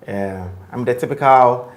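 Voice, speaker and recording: A man, a tech company co-founder, talking in a relaxed and reflective way as the guest of an interview, with many fillers. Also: medium pitch, measured pace. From Ghana. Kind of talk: casual interview conversation.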